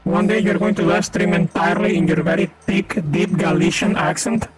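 High-voiced Venezuelan man streaming a game. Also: a little fast, poor audio. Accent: Venezuelan Spanish, from Maracaibo. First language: Spanish. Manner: chatty, laughs often, calls out enemies. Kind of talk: casual co-op gaming chat. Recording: game music playing loudly behind. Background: game music.

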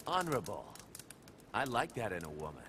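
Cocky assassin, swinging intonation. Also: male voice, lowish voice, very animated, brisk, pushed and bright. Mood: cool, blunt. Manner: blunt, curt, swearing swagger. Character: brash, cocky young male assassin antihero. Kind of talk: English video game cutscene acting. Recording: game audio with clear background noise.

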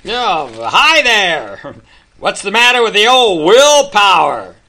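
Radio drama mocking neighbor male actor: taunting, animated, sharp high voice. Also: takes it slowly, heavily acted. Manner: taunting, sarcastic. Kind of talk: community radio theater acting.

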